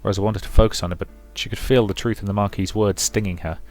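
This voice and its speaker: A man's voice: fairly low pitch, steady tone, fast talker, a little mellow. A man reading a visual novel's story narration aloud as a let's play narrator. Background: music.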